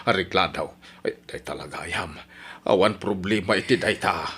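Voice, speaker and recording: A man's voice: sits low, normal speed, a bit bright, slightly rough, heavily acted. A man with an Ilocano accent playing a flustered older village grandfather in a radio comedy drama, exclaiming and stammering. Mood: affectionate, teasing.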